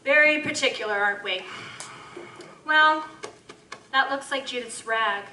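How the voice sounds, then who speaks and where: A teasing, streetwise working woman with a high, bright voice and a knowing edge. She sounds far from the mic in an echoey live hall, with faint room noise. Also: unhurried, exaggerated voice. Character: brassy streetwise woman of the night. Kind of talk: live audio drama acting. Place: live convention stage.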